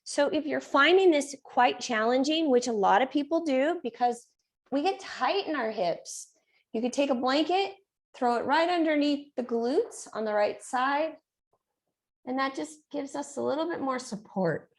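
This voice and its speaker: A woman leads a yoga class with a fairly high voice, giving calm step-by-step cues. She has been losing her voice and clears her throat now and then. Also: moderate pace. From Reno area, United States. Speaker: yoga teacher. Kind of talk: guided yoga class instruction.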